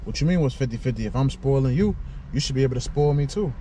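Fast-talking man, street noise around. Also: mid-range voice, expressive, mellow. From New York and Nigeria. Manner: laid-back slangy banter. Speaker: prank show host. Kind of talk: casual flirty chat. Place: parked convertible sports car outdoors. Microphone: fixed camera on dashboard. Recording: distant mic with street noise.

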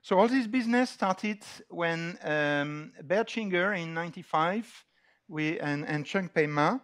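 A middle-aged man with a French accent giving an informal technical lecture in a halting, deliberate way, often hesitating. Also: a little high, fairly bright tone. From France. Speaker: lecturer opening a scientific workshop. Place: large dark auditorium, on stage.

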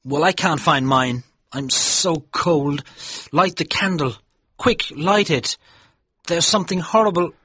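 Guy doing a scared haunted man from a story, pleading like a kid, pitched up n kinda raspy. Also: unhurried, bright, forceful tone, no echo, exaggerated voice. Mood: fearful pleading. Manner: urgent, pleading, frightened. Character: terrified, pleading haunted man, childlike with fear. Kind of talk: dramatised audiobook story.

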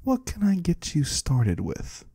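A mysterious disembodied trader from the void, played by a man, speaks slowly in a husky, breathy hush. His pitch drifts up and down with courteous curiosity, as in an intimate ASMR roleplay. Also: wildly swooping pitch, dry recording, heavily acted. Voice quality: husky, breathy, sometimes whispering. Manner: slow, hushed, gently curious. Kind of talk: soft-spoken ASMR roleplay. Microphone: close, dry microphone.

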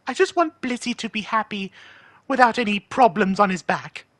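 A man voicing a doting, burdened owl demon prince, earnest and halting, in a cartoon-style monologue. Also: high voice, lively intonation, a bit bright, no echo, clean studio sound, voice pushed up. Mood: anguished, pleading.

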